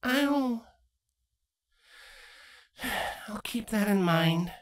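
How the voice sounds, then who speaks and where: A woman voicing a bookish, excitable young female changeling princess in a storybook reading, earnest and easily flustered. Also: mid-range voice, very slow, voice pushed up. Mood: sheepish.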